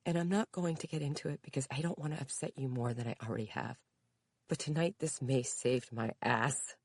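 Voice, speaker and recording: A woman's voice: rumbling low, fairly quick, a little mellow, slightly rough, dry recording, clean studio sound, exaggerated voice. A woman reading aloud, voicing an adult daughter who tearfully reassures her mother. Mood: earnest. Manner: emotional, reassuring.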